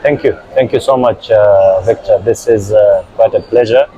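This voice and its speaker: A middle-aged man from Uganda, a football club director, answering interview questions with many hesitant filler pauses. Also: fairly low pitch, mellow, lo-fi sound, a little hiss or hum.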